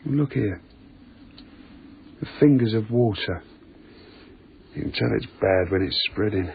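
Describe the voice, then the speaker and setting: An actor playing a British railway tunnel night worker in a radio drama, talking in a matey, musing way. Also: male voice, lowish voice, lively intonation, unhurried. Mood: uneasy fascination. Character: chatty, anecdote-loving British railway tunnel night worker, uneasily fascinated. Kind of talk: naturalistic radio drama acting. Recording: lo-fi phone-like radio recording, clearly noisy.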